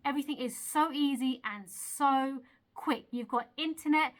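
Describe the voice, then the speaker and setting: A woman's voice: high voice, normal speed. A young woman from Eritrea talking to camera in a casual, earnest vlog, chatty and self-laughing, asking the viewer questions. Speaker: video creator. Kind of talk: casual motivational talk to camera.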